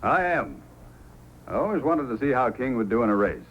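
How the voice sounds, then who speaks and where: Male actor, calm conciliatory Mountie, old radio drama, animated intonation, muffled sound with faint noise. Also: medium pitch, close to an everyday voice. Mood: measured. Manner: measured, conciliatory. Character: calm, fair-minded Mountie sergeant, peacemaker.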